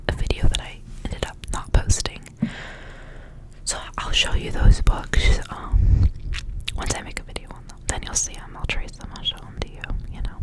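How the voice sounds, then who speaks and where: Whispering woman, soft ASMR host. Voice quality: breathy, unvoiced whisper. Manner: soft, rambling, repeats words. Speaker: ASMR host reading books aloud. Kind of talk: whispered ASMR, reading and casual chat.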